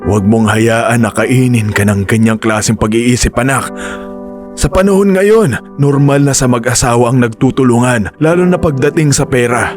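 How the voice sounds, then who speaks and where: A man's voice: mid-range voice, moderate pace, faint music behind, natural voice. A man playing an older father who scolds his grown son in a radio drama. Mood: scolding. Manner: stern fatherly advice. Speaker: voice actor.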